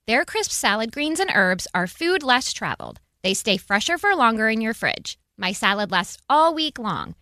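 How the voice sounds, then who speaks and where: A woman's voice: on the high side, sharp tone, no echo, clean studio sound. An American woman reading a chatty scripted advertising voice-over.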